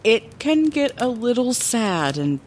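A woman's voice: a little high, big pitch swings, slow pace, a little mellow, slight background noise. An actress playing a fond, playful middle-aged woman lighthouse keeper, telling her past as a theatrical bedtime story in a fantasy audio drama. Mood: warm, teasing. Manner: theatrical, wordy, chatty asides.

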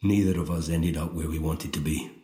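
An actor playing a headstrong young twin in an audio drama, bewildered and distrustful, questioning haltingly and intensely. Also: male voice, a bass voice, fairly even intonation, mellow, echoey room, slight background noise. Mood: probing, sombre. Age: young adult.

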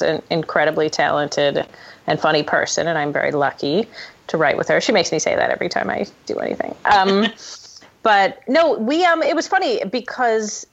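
A woman telling stories casually as a screenwriter guest in an interview, with a wry, self-deprecating humor. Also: mid-range voice. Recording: dull, lo-fi podcast audio.

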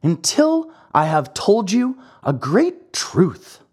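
A woman voicing a wise old male cricket who lectures in a calm, breathy voice. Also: lowish voice, slow pace, voice pushed up, exaggerated voice. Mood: solemn.

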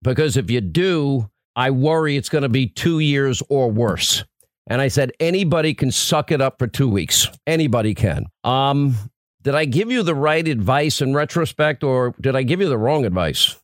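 A man's voice: medium pitch, lively intonation, normal speed, no room sound, studio quality. A man hosting a radio talk show, interviewing guests in a rambling, chatty way with frequent restarts. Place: radio studio.

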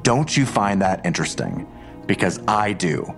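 An actor playing a menacing, suspicious police detective in an audio drama, pressing with pointed, accusatory questions. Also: male voice, low voice, lively intonation, moderate pace, soft background music. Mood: cold, probing.

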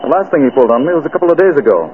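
Fast-talking lovelorn gentleman. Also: fairly high pitch, big pitch swings. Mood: troubled, bitter. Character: troubled, bitter, lovelorn gentleman shut out. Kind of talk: 1930s-40s radio drama acting. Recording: scratchy, tinny old radio broadcast.

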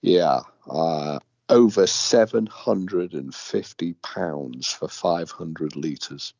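A man's voice: low-pitched, extremely slow, creaky, darkish tone, dry recording. A man from the UK co-hosting a casual radio show, dry and laid-back. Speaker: radio co-host. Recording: dull lo-fi dry audio.

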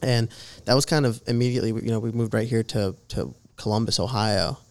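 A man's voice: fairly low pitch, steady tone, brisk. A man in his twenties from Jordan, a podcast guest telling his story in a loose, rambling way full of fillers. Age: 20s. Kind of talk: casual interview chat.

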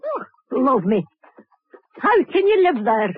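An actress playing an old peddler granny who haggles for rags and bones in a 1950s radio drama. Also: female voice, sits high, sing-song, slow, slightly rough, full character voice. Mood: curious, wary. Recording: tinny, narrow-band old radio recording.

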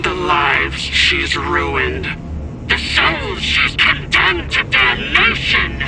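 A sinister, zealous hunter bent on holy vengeance in an audio drama. He drags out each pronouncement slowly in a gravelly, hushed voice that swings widely in pitch, with music underneath. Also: wildly swooping pitch, background noise, full character voice. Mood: vengeful. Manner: slow, grandiose, ominous pronouncements.

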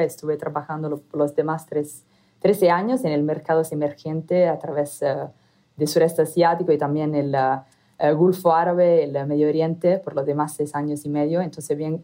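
A woman from Italy speaking with an Italian accent as a guest and company founder, talking in a halting way with many hesitations and restarts. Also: low voice, warm tone. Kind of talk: casual interview talk.

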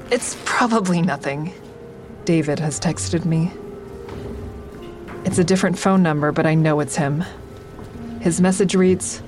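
An actress playing a guarded, introspective woman who narrates her own story in an audio drama, with wry understatement. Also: sits low. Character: guarded woman, a stand-up comic and crisis-line volunteer, narrating. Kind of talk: first-person audio drama narration and dialogue.

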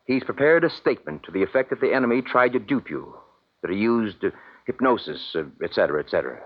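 A restrained, grave father of middle age. His voice is low and husky, speaking with measured firmness in a dull-sounding 1950s radio drama recording. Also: lively intonation. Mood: grave, resigned. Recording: muffled vintage radio recording.

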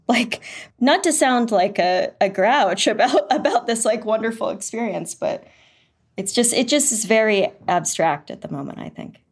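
A woman author being interviewed, talking thoughtfully and candidly, searching for her words. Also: on the high side. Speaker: author and guest. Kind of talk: conversational interview.

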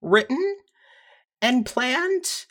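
A writer speaking as a podcast guest, chatting casually and in an animated, self-deprecating way about their own experience. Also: high-pitched, extremely slow. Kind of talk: casual panel chat, telling own experience.

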